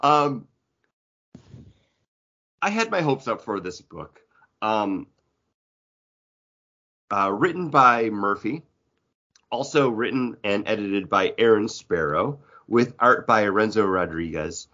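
A man with a fairly deep voice talks casually as a podcast co-host. He rambles in a jokey, informal way. The audio is somewhat dull and lo-fi. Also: animated, slow pace, pauses often, sharp tone. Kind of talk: casual comic book podcast chat.

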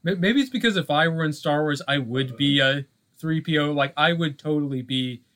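Higher-pitched man chatting on a podcast. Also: normal speed, sharp tone. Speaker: podcast co-host. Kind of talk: casual chat.

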